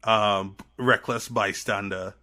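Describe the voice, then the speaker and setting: A man with a Trinidadian accent tinged with American, telling stories as a podcast guest in an animated, rambling way. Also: fairly low pitch, slow. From Trinidad and Tobago. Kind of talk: casual chat.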